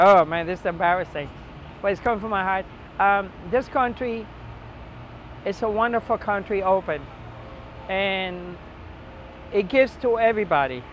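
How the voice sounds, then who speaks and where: A man in his sixties from Puerto Rico, telling his life story in an interview, heartfelt and halting, often repeating words. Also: high voice, lo-fi sound, a little hiss or hum.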